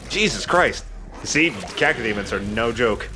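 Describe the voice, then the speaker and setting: A man's voice: fairly low pitch, lively intonation, unhurried, noisy background. A man hosting a let's play, joking and swearing in casual banter as he comments on his game. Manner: joking, profane.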